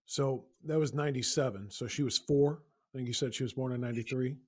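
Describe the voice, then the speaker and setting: A man's voice: medium pitch, fast, darkish tone. Male host, mid-range voice, probing interviewer who restarts phrases. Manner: probing, repeats words while framing questions. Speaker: podcast host. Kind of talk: interview questions.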